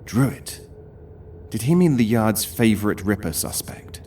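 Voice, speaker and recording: An actor playing a troubled, disdainful Victorian doctor in an audio horror drama, speaking in a brooding, formal way. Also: male voice, on the low side, animated, measured pace, a little mellow, distant mic, slight background noise. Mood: defensive, formal.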